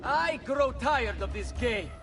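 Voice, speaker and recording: Sneering young villain. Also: male voice, very high-pitched, expressive, slow, over music, exaggerated voice. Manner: taunting, sneering. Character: arrogant young male nobleman villain. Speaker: video game character.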